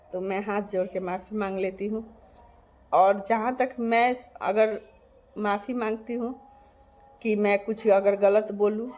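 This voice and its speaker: A woman's voice: mid-range voice, warm tone. A woman from Bihar talking to her channel's viewers in an earnest, emphatic way, repeating her points. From Bihar, India. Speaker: vlogger. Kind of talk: casual direct-to-camera monologue.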